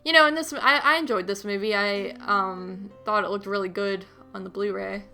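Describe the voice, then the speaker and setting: A woman with a bright voice and plenty of vocal fry chats about movies as a podcast co-host. She speaks informally, feeling her way through sentences, with faint music underneath. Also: mid-range voice, steady tone, moderate pace. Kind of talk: casual movie chat.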